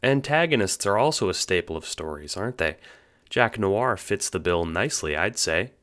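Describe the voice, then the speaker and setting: Very deep-voiced, theatrical puppet-master narrator played by a man. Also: lively intonation, normal speed. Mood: gleeful, taunting. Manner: teasing, mock-cheerful, swooping between hushes and exclamations. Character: sly, theatrical male puppet-master narrator who toys with listeners. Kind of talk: theatrical audio drama narration. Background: music.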